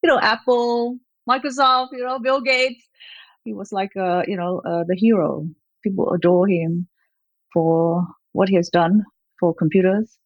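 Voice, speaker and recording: A woman's voice: medium pitch, steady tone, unhurried, dry recording, muffled recording. A woman in her fifties from Malaysia, speaking as an interview guest. She talks in a rambling way, with many fillers and light laughs. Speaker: gallerist, guest. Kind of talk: conversational interview.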